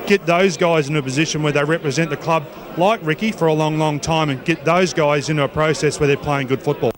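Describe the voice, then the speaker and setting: A man answering an interview firmly and in measured phrases as a football coach. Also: fairly high pitch, steady tone. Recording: crowd chatter behind the voice.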